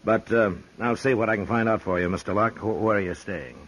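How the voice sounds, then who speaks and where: An actor playing a stern, terse male frontier marshal with a deep husky voice in a 1950s radio drama. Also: fairly quick, fairly bright tone. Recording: dull old radio broadcast.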